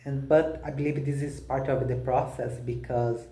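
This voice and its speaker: A man with a Brazilian accent, a native Portuguese speaker practicing spoken English, talking haltingly with many restarts and fillers. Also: medium pitch, little pitch movement, dark tone, reverberant. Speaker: language learner.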